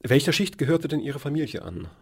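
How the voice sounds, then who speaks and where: A man hosting a radio interview and asking measured questions. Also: a little high, very animated, slightly rough.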